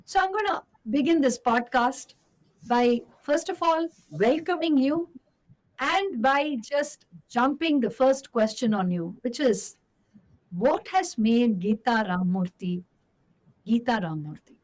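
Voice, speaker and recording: A woman's voice: on the high side, lively intonation, measured pace, darkish tone, poor audio. A woman with an Indian English accent hosting a podcast, reading a formal intro and then asking her guest questions in an effusive way. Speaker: podcast host.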